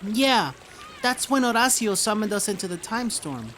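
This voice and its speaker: A woman's voice: medium pitch, sing-song, moderate pace, fairly bright tone, a little hiss or hum. A woman playing an earnest young heroine in an audio drama, patiently explaining a secret to a friend.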